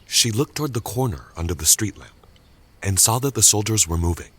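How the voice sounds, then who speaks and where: A man's voice: fairly low pitch, expressive, fairly quick, husky. A man reading scripted, dramatic story narration as the narrator of an audio tale.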